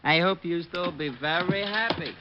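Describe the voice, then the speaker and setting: A man's voice: a little high, normal speed, lightly acted. An actor playing a matter-of-fact delivery man in an old-time radio drama. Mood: businesslike. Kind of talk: 1940s-50s radio acting. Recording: dull old radio recording, noisy.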